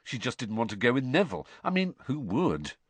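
Storybook teen boy, voiced by a man: exasperated, blunt, slangy, very animated, raised pitch. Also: brisk, slightly rough, no room sound. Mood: mocking amusement. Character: exasperated, teasing teenage boy, loud and blunt.

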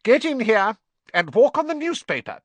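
A man's voice: extremely high pitch, sing-song, normal speed, no echo, lo-fi sound, voice pushed up. A man voicing a bossy middle-aged aunt from a storybook, snapping curt, impatient commands. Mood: snappish, impatient.

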